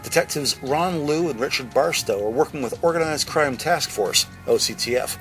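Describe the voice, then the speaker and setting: A man's voice: a little high, fairly bright tone. A man narrating a scripted audio drama with detailed, descriptive storytelling.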